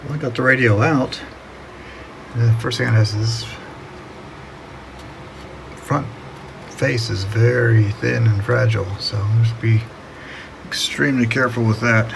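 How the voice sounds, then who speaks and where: A man with a gravelly voice narrating a casual hands-on video, hesitantly thinking aloud as he works. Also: mid-range voice, steady tone, measured pace, pauses often, noisy background. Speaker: hobbyist radio restorer narrating own video.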